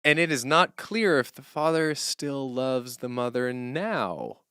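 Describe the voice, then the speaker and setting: A man chatting casually and unscripted as a streamer and songwriter, animated and rambling as he thinks aloud and interrupts himself. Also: mid-range voice, slow pace, clear, resonant tone, dry recording, clean studio sound. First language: English as main language, German heard at home.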